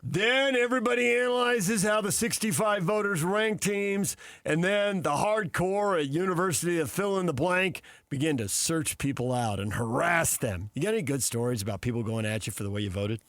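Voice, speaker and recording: A man's voice: fairly high pitch, animated, moderate pace, a bit bright, no echo, studio quality. A man hosting a sports talk radio show, introducing segments and putting questions to guests.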